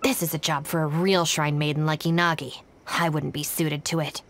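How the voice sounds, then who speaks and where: A woman's voice: low voice, little pitch movement, moderate pace. An actress playing a pragmatic, independent young woman in an English-dubbed anime game, with a cool, matter-of-fact delivery. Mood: cool, frank. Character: pragmatic, independent young woman, reluctant former shrine maiden.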